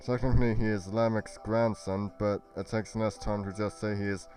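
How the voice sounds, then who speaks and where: Man acting a solemn, low-voiced fantasy adventurer. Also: level tone, normal speed, darkish tone, soft background music, lightly acted. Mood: solemn explaining. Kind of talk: amateur fantasy roleplay voice acting.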